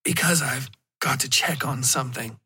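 A man's voice: medium pitch, moderate pace, pushed and bright, husky, full character voice. A man voicing a guarded mother in a horror story, answering tersely.

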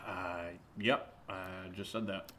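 An actor playing a dazed, sarcastic young man in a naturalistic horror audio drama, speaking haltingly with sighs. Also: sits low, fairly even intonation, a bit bright, slight background noise. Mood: irritated. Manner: halting, hesitant, sighing.

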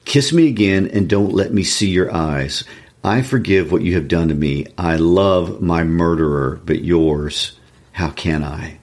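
A man's voice: sits low, unhurried, darkish tone. A man reading the part of an anguished, savage young male lover in an intense, despairing, reproachful way, as in a classic novel. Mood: grieving.

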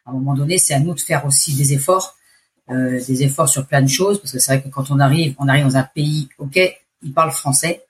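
A woman's voice: low voice, a little mellow. Woman, French accent, forties, chatty, hesitant, restarts her phrases. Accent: French from France. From France. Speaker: expat interviewee. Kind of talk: casual interview testimony.

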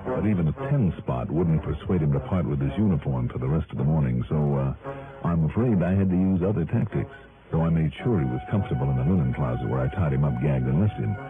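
An actor playing a wry, hard-boiled investigator who also narrates, in clipped slangy old radio detective style. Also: male voice, very deep voice, animated, fast, phone-quality sound, loud music behind the voice. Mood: wry narration. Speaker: lead and narrator. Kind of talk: 1950s-60s radio detective acting and narration. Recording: thin, band-limited vintage radio recording.

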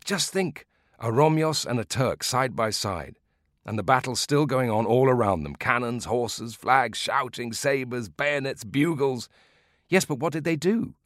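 A man voicing an old Greek war veteran in a storybook, who tells his tale in a dramatic, digressive, drawn-out way. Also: mid-range voice, lively intonation, a bit bright, dry recording, studio quality, voice pushed up. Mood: suspenseful.

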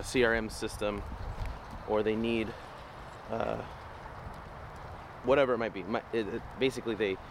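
A man hosting a walking vlog, losing his voice, talking in a casual, rambling, upbeat motivational way. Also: fairly low pitch. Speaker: vlogger host. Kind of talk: walking talk to camera, motivational. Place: outdoors on a city street. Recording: noisy outdoor street recording.

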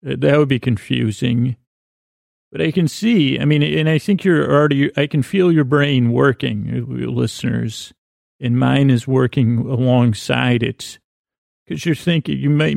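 A man hosting a podcast with a rambling, comedic bedtime monologue, halting and often interrupting himself. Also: mid-range voice, darkish tone, no echo, clean studio sound. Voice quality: creaky, gravelly. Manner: halting, meandering, self-interrupting. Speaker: podcast host.

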